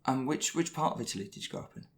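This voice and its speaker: A man hosting a podcast, asking a guest a list of questions in a brisk, lightly teasing way. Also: medium pitch, animated. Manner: brisk, scripted intro then questions. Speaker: podcast host. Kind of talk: podcast interview.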